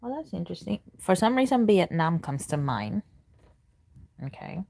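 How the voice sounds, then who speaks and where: Woman, native Spanish speaker, casual tarot reader, low voice, muses aloud while pulling cards. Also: big pitch swings, moderate pace, gravelly, darkish tone. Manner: chatty, thinking aloud, murmurs while reading cards. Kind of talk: live tarot reading, answering chat questions.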